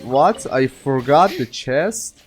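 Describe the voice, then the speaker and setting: A man's voice: medium pitch, sing-song, takes it slowly, husky, soft background music. A man playing a video game and thinking aloud in casual commentary, self-mocking and given to exclamations and muttering. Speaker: gaming streamer, player.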